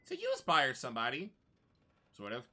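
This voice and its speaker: A man's voice: medium pitch, huge pitch swings, fairly quick, vocal fry, sharp tone. A man chatting casually and informally as a game streamer, with slangy phrasing. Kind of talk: casual live stream chat.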